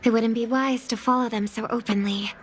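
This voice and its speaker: A woman voicing an earnest, watchful and worried young singer in a video game, soft and hesitant in manner. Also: a little high, steady tone, brisk, soft background music, natural voice. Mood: wary. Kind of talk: scripted game dialogue, inner monologue.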